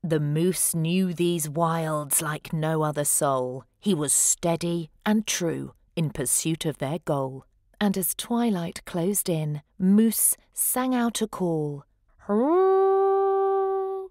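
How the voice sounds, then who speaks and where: Measured woman reading a children's storybook. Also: low voice, a little mellow, no room sound, clean studio sound. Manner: measured, dramatic emphasis. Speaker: storybook reader. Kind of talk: expressive children's read-aloud narration of rhyming verse.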